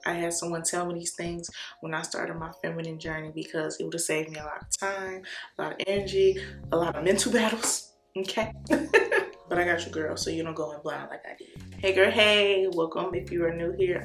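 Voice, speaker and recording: A young woman with a Chicago accent, talking to the camera in an energetic, slangy way and laughing often. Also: on the low side, brisk. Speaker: vlogger. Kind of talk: casual talk to camera. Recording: echoey room with soft guitar music.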